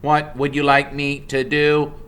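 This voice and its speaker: Female robot for a children's story, acted by a man: polite, literal, high, bright and measured. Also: monotone, clear, resonant tone. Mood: friendly. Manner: measured, precise, matter-of-fact.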